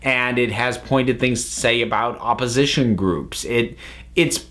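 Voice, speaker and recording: A man's voice: medium pitch, unhurried, a bit bright. A man giving an unscripted review as a commentator, talking analytically and often stopping to rephrase himself. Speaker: reviewer, channel host.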